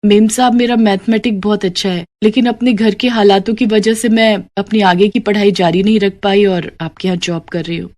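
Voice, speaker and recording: A woman's voice: medium pitch, steady tone, normal speed, few pauses, darkish tone, natural voice. A woman voicing a poor, earnest and polite young woman in a cartoon story. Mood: polite, concerned. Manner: polite, deferential. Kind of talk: acted dialogue in a Hindi cartoon story.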